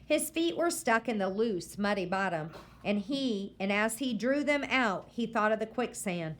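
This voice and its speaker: A woman reading a novel aloud to listeners, pausing often to ask them questions about the story. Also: medium pitch, animated. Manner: slow, deliberate, stopping to quiz listeners.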